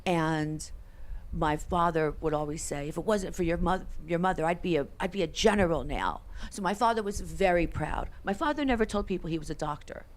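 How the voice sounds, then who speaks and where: A woman's voice: fairly low pitch, a little fast. A woman from New Jersey, a guest on a talk show, earnestly telling stories about her parents. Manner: earnest, affirming, brief yes answers. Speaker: featured guest. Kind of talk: interview, family storytelling.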